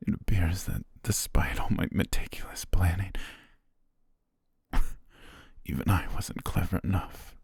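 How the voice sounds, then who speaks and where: A man voicing a dying young butler, loyal and tender, who speaks in hushed, halting words broken by weak breathy laughs as his strength fades, recorded on a close mic for an ASMR roleplay. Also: low voice, dry recording, exaggerated voice. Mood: stunned, hurt. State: fading, short of strength. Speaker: voice actor.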